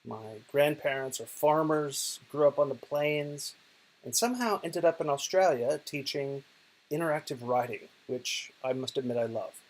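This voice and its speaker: A man's voice: medium pitch, poor audio. A middle-aged man from Oklahoma with an American accent, giving a casual, chatty video talk about his work from bed while ill, with many fillers and restarts. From Oklahoma, United States. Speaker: digital poet and professor. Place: bedroom, lying in bed. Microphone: self-recorded video.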